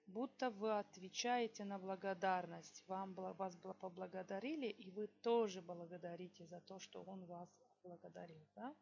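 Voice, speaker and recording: A woman teaching a language lesson, slowly dictating example sentences word by word and checking in with her students. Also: mid-range voice, fairly bright tone, highs cut off. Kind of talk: online language lesson, explaining exercises.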